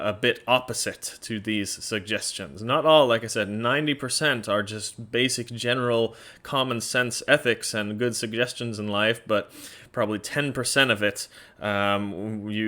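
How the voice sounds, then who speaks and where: A man's voice: fairly low pitch, bright, forceful tone. A man with a Norwegian accent explaining in a casual, chatty way, blunt and often laughing, with many fillers. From Norway. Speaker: educator presenting a series.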